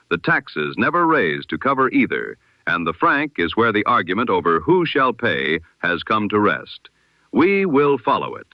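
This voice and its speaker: Low-voiced man reading a vintage-style news bulletin. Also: dry recording, dull audio. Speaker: news presenter. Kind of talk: scripted news bulletin styled as 1920s radio.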